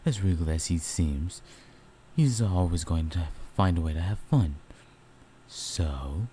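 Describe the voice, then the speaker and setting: A man's voice: low-pitched, expressive, takes it slowly, dark tone, slight background noise. A man voicing a teasing, competitive male werewolf in an audio roleplay, talking casually and bluntly to a partner. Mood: playful, competitive.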